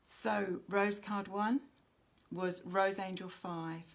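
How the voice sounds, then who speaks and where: A woman hosting a live spiritual oracle reading, speaking to viewers in a measured way with frequent pauses mid-sentence. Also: mid-range voice, fairly even intonation. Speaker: host of a spiritual card reading.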